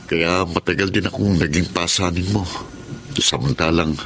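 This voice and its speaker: Casting: apologetic eldest Filipino brother, deep voice, radio drama, noisy recording. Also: male voice, fairly even intonation, normal speed, a bit bright. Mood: humble, apologetic. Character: humble, apologetic eldest brother, adult, frail.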